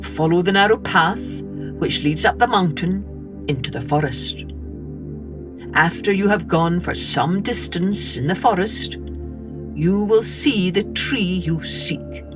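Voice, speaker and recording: Little old man guide, played by a woman, storybook, kindly, cautioning, measured, very expressive pitch. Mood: instructing. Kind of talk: children's storybook character voice.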